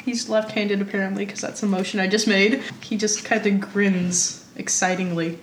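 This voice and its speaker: A woman playing a tabletop game, chatty and excitable, thinking aloud as she goes. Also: mid-range voice, normal speed. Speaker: player. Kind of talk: casual tabletop game chat. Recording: echoey room, faint noise.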